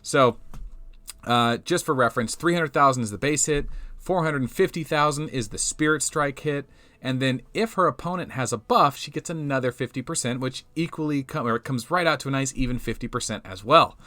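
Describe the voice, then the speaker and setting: A young man with a mid-range voice that has gone a little hoarse talks through a game replay in a loose, thinking-aloud way. He restarts sentences often and trails off into quieter asides. The sound is dry and close, with no room around it. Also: medium pitch, a little fast, no room sound. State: slightly hoarse, losing his voice. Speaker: game channel host. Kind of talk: casual gameplay commentary.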